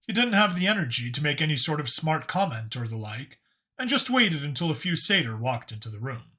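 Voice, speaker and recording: A man's voice: medium pitch, pushed and bright. A man reading scripted science fiction narration as an audiobook narrator.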